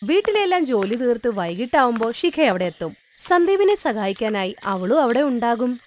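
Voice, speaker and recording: High, expressive woman storyteller. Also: normal speed. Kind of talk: scripted children's story narration.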